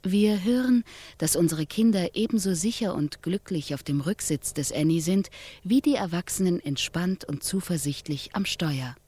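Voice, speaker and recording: An actress in a radio play as a car advert presenter, speaking in measured, declarative phrases with a husky voice. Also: female voice, fairly low pitch, warm tone, dry recording. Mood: measured, reassuring. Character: smooth-selling woman presenter of a car advertisement.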